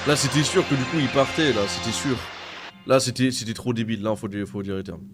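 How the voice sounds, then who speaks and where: A man's voice: medium pitch, fairly even intonation, fairly quick, music in the background. A man giving casual live reaction commentary as a streamer, slangy and exclamatory, often repeating himself. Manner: exclamatory, slangy, repetitive outbursts.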